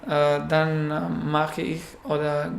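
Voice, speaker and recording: A man from Afghanistan with an Afghan accent, whose first language is Pashto, answering interview questions in a halting way with many fillers. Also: a little high, completely flat delivery, reverberant. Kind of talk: casual interview.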